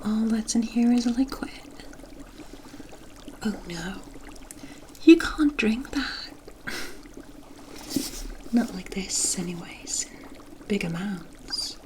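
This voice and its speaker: A woman's voice: mid-range voice, darkish tone, slight background noise, natural voice. A woman playing a mysterious, kindly witch in an ASMR roleplay, speaking in a coy, teasing and cryptic way.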